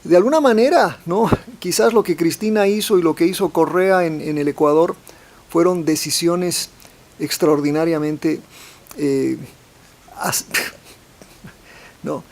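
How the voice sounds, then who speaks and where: A man's voice: a little high, unhurried, pauses often, darkish tone. A man speaking as a professor on a forum panel, hesitant, restarting phrases and tagging sentences with questions. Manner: hesitant, repeats words, adds tag questions. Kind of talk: forum talk on politics.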